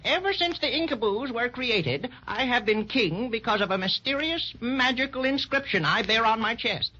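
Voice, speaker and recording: Pompous fairy-tale king, high and swooping, haughty and dithering, old lo-fi radio sound. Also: expressive, normal speed, bright, forceful tone, full character voice. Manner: haughty, blustering, hesitant. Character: pompous, dithering, easily offended king of inkblot creatures. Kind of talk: 1930s children's radio acting. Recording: dull, lo-fi 1930s radio recording.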